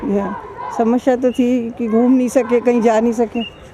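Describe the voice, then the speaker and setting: A woman's voice: fairly high pitch, little pitch movement, unhurried, background noise. A woman answering a street interview casually and agreeably.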